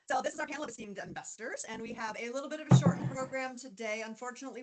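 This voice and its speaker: A woman hosting an online pitch meeting, welcoming panelists in an upbeat manner. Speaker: meeting host.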